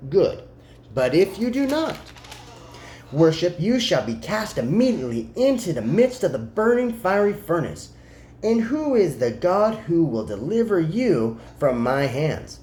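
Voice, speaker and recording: A reader acting out a proud, animated ancient king. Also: mid-range voice. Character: proud, furious ancient king giving commands. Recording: roomy echo, faint background noise.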